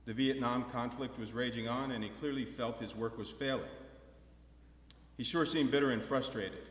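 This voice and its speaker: Man, mid-pitched voice, event host, prepared speech, reverberant hall. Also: fairly even intonation, normal speed. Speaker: host introducing a stage reading. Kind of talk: prepared speech to a live audience. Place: large indoor hall or theatre. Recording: echoey hall with long reverb.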